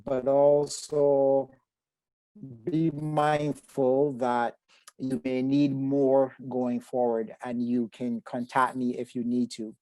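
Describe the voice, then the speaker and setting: Barbadian male therapist presenting, mellow tone, dry close sound, measured formal delivery. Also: mid-range voice, completely flat delivery, no room sound, rough recording. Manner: measured, formal phrasing with frequent fillers. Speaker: psychotherapist presenter. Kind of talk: webinar presentation.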